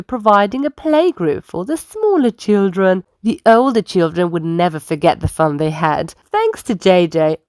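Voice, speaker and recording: A woman reading a children's storybook aloud as an expressive storyteller, with careful phrasing for young listeners. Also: medium pitch, no echo.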